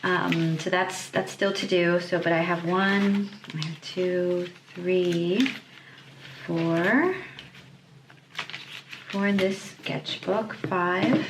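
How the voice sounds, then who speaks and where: A woman illustrator chatting casually on her vlog, hesitant, often pausing and repeating words as she thinks. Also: lowish voice. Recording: echoey room, clear background noise.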